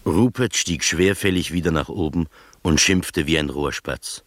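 Male narrator, measured, literary radio play, low pitch, close dry sound. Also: fairly bright tone, dry recording. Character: observant male first-person storyteller.

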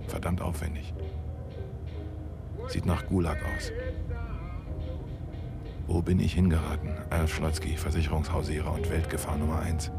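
A man's voice: low-pitched, gravelly. An actor playing a wry, embattled insurance salesman in a radio play, speaking a sardonic monologue in clipped phrases. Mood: wry, uneasy.

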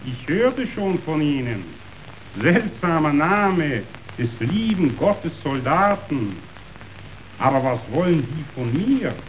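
A man's voice: a little high, sing-song, slow, slight background noise, rough recording. A man acting a domineering, scornful meat tycoon in a verse radio play, declaiming theatrically.

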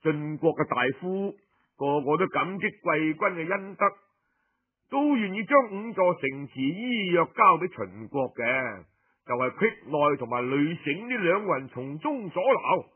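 A storyteller playing a male Cantonese envoy, respectful yet scheming. He confides formally in a husky voice, on a muffled lo-fi storytelling recording. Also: a little high, very animated, measured pace, exaggerated voice. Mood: deferential, scheming. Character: deferential, scheming envoy quietly proposing a plot.

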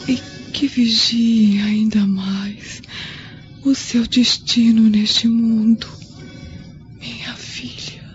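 A dying, bitter old mother of ancient Rome in a radio drama. Her breathy whisper is extremely slow and rather monotone. The recording is a little muffled. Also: fairly high pitch, faint music behind. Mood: weak, ominous.

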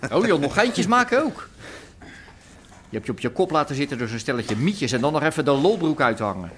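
An actor playing a scornful boss of heavies, scolding brusquely in a radio drama. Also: male voice, on the high side, very animated, fairly quick, fairly bright tone, a little hiss or hum. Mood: angry, scornful.

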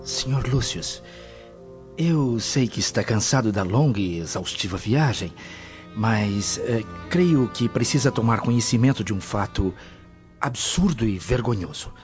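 Oily elderly Roman steward, lowish voice, servile and insinuating, radio drama with faint music. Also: male voice, lively intonation, unhurried, a little mellow. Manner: insinuating, deferential. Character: scheming, servile, insincere old Roman head servant. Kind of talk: radio soap acting. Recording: dull lo-fi, music behind.

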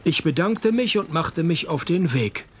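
An actor playing a wry, cynical private detective who narrates a radio play with dry, deadpan, laconic delivery and a husky voice. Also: male voice, a little high, a little slow, a little hiss or hum. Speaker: detective and first-person narrator.

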